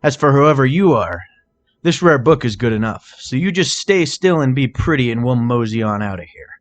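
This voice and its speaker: A man's voice: mid-range voice, lively intonation, moderate pace, close to an everyday voice. A smug pony thief and ringleader, voiced by a man. He talks with an arrogant, mocking sneer, as a cocky crook in a storybook tale.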